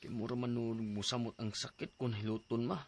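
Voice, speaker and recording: Husky, plaintive Cebuano teenage son acted by a man. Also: mid-range voice, level tone, fairly quick, a little mellow, close to an everyday voice. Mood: pained, complaining. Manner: hesitant, complaining. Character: ailing eighteen-year-old son, reluctant and plaintive. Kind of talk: radio drama acting.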